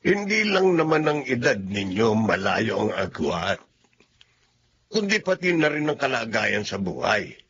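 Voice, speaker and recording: A man's voice: on the low side, big pitch swings, a little slow, fairly bright tone, muffled recording, slight background noise, exaggerated voice. An actor playing a blunt old father who doubts his son's much older girlfriend, in a radio drama. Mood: disapproving. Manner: blunt, skeptical, polite-formal. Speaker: father of the young man.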